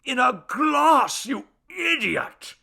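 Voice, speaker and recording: A man voicing a pompous, irritable ancient Babylonian god in a story, with grandiose, formal declamation and a rusty, booming voice. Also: big pitch swings, a little slow, gravelly, sharp tone, slightly rough, exaggerated voice. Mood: enraged. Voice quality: rusty booming foghorn bass.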